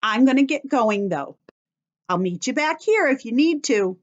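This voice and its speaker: A woman's voice: on the high side, lively intonation, moderate pace, muffled recording. A woman teacher talking young children through things in simple steps, with encouraging questions. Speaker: children's teacher leading craft and story time. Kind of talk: instructional talk to young children.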